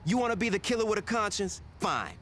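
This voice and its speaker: A man's voice: sits high, sing-song, fast, fairly bright tone, slight background noise. A man playing a ruthless, defiant street gang boss in a video game cutscene, blunt, profane and threatening. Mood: bitter, scornful.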